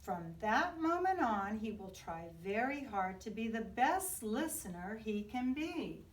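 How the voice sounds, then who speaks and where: Echoey, slow, animated woman reading a storybook. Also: a little high. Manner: expressive, deliberate storytelling for young children. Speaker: children's book reader. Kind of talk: read-aloud picture book narration. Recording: echoey room, distant from mic.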